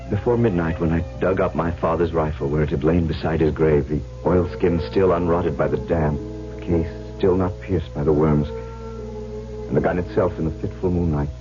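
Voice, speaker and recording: A deep-voiced young suitor speaks with brooding, earnest resolve. His measured, dramatic radio delivery comes through a muffled vintage recording with music behind it. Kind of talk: old-time radio drama acting and first-person narration.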